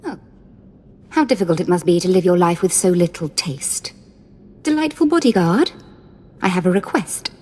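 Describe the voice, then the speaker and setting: A woman's voice: lowish voice, animated, moderate pace, pauses often, darkish tone, long reverb tail. A woman voicing a playful, cruel young female Sith lord in a video game, with a mocking, theatrical, sing-song delivery.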